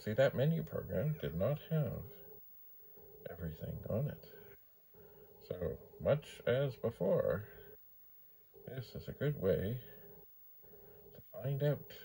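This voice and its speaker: Deep, creaky-voiced older man, musing casually. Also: warm tone, a little hiss or hum. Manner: unhurried, musing, repeats words for emphasis. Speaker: vintage computer hobbyist presenting his channel. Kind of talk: casual rambling demonstration talk.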